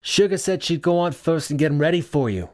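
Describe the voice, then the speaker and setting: A gruff, kindly older theater boss, played by a male audiobook narrator, pitched well above a deep register. He teases like an old friend and encourages warmly, in the manner of a storybook character. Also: animated, a little fast, lightly acted. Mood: kindly reassuring.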